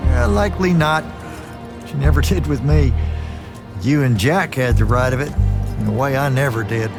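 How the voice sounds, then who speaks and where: A man's voice: medium pitch, normal speed, vocal fry, over music, close to an everyday voice. Salty, folksy veteran soldier, voiced by a man. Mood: fond, teasing. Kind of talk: video game cutscene acting.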